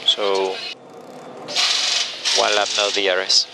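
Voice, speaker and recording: Halting male race engineer, very noisy radio. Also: on the low side, monotone, vocal fry. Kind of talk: team radio briefing. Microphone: radio headset. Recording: very noisy radio with car sounds.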